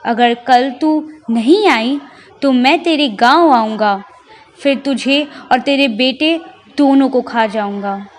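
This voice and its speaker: A woman voicing a hungry, threatening male lion in a children's story, with slow, deliberate menace. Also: high voice, long reverb tail, a little hiss or hum.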